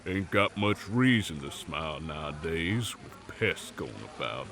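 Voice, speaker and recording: An actor playing a gruff, hard-nosed swamp man with a temper, curt, grumbling and folksy, in an audio roleplay. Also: sits low, lively intonation, unhurried, a crowd in the background. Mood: sour, accusing. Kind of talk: audio roleplay acting, dramatic dialogue.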